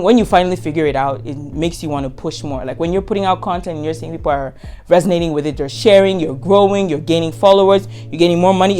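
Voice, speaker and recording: Fast-talking Nigerian woman in her twenties. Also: on the low side, a little mellow. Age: 20s. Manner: frank and emphatic, repeats words for emphasis. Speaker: content creator. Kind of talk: casual Q&A vlog.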